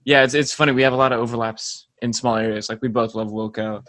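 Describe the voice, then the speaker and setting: A man's voice: mid-range voice, steady tone, fast talker, a bit bright, no echo. A young man from South Carolina chatting casually as an interview guest, in a loose, rambling, easygoing way. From South Carolina, United States. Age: young adult. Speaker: band member, podcast guest. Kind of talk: casual chat.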